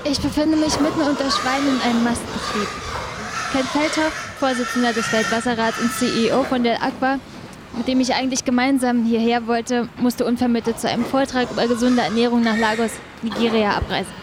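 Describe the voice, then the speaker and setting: Field reporter, female actor, articulate and questioning, lightly high pitch, scripted radio reportage, noisy surroundings. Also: steady tone, fairly quick, natural voice. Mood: presenting. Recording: clear ambient noise of an animal barn.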